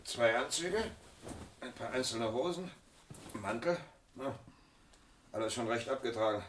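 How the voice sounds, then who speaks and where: A police sidekick in a vintage radio crime play. He is blunt, casual and observant, at a middling pitch. The recording is roomy and slightly distant, with faint noise. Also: expressive, a little slow, fairly bright tone. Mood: wry, matter-of-fact. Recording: roomy, off-mic, noisy old radio recording.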